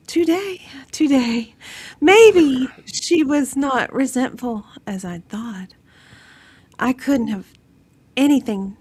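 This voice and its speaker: Woman, story reader; slow, husky, melodramatic delivery. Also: high voice, a little mellow. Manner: breathy, drawn-out, melodramatic reading. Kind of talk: dramatic reading of a novel aloud.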